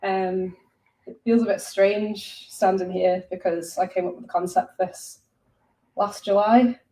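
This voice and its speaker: A woman's voice: mid-range voice, steady tone, unhurried, mellow. A woman giving an informal presentation to a room, hesitant and a little self-conscious. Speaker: presenter, audio drama creator and director. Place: indoor room at a live presentation. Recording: echoey room, distant mic, faint noise.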